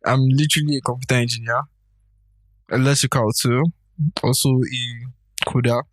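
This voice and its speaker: A young man with a Nigerian English accent teases and boasts through a relaxed podcast chat. His voice sits at a middle pitch. The sound is dry, with no room behind it. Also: moderate pace, no room sound. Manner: playful, boastful teasing. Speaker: podcast co-host. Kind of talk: casual banter.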